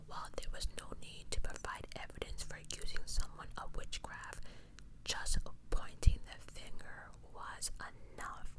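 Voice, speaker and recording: A woman whispering true-crime ASMR, reading and adding opinionated, slangy, conversational asides as she goes. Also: rough recording. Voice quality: pure unvoiced whisper. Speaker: ASMR host.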